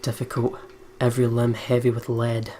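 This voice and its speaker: A man's voice: mid-range voice, monotone, normal speed, mellow, slight background noise. A man co-hosting a let's play, chatting casually and reading game text aloud. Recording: game music behind the voice. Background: video game music.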